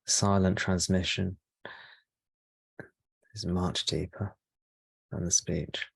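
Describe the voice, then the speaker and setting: A man speaking as a spiritual teacher leading a guided meditation, in slow, measured short phrases with pauses between them. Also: deep voice, little pitch movement, vocal fry, a bit bright, slightly rough, no room sound.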